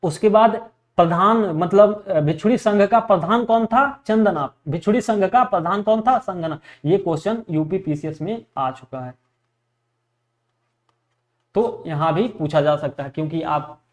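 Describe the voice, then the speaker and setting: High-pitched man drilling exam facts. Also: measured pace. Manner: repetitive, asks questions and answers them himself. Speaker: history teacher for exam preparation. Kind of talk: classroom lecture drilling facts for exams.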